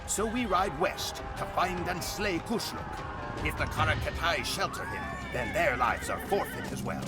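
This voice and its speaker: A solemn male Mongol chronicler, as an actor voices him recounts a campaign in a slightly high voice that rises and falls widely. Game cutscene narration with music clearly behind. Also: lively intonation, lightly acted. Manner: grave, measured storytelling.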